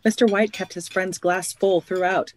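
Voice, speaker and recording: A narrator giving scripted storytelling in a measured delivery for a radio play. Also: mid-range voice, fairly even intonation, natural voice.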